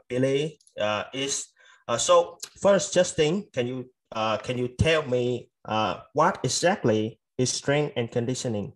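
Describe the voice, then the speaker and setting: A man hosting a podcast interview, speaking haltingly with many fillers. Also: medium pitch, low-quality recording. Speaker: podcast host.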